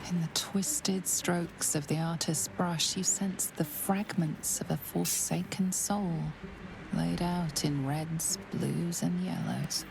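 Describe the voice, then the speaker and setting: An actress playing a solemn, mysterious storyteller, narrating a dark fantasy game in a hushed, deliberate way. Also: female voice, lowish voice, steady tone, gravelly, noisy background. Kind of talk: scripted video game narration.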